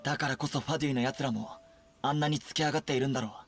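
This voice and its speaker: An actor playing an earnest, dutiful young army captain in an anime game, with a formal military manner. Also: male voice, medium pitch, fairly even intonation, rapid-fire, dry recording, natural voice. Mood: serious, deliberating. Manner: crisp, military, formal recitation and briefing. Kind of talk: Japanese anime game voice acting. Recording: game dialogue over background music.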